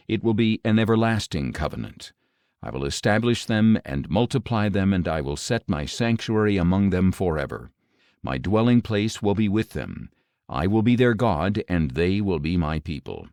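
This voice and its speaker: A man acts out a solemn, commanding God in an audio Bible. The voice is low and grave, declaiming in measured phrases that rise and fall widely. The sound is dry and close. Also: darkish tone, dry recording. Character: commanding, solemn male God speaking prophecy. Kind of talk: audio Bible scripture reading.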